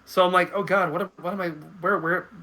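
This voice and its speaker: A man's voice: high voice, fairly even intonation, fairly quick, bright, forceful tone. A man in his thirties chatting casually as a podcast guest, thinking aloud with hesitant, repeated words. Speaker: guest commentator. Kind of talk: casual video podcast chat.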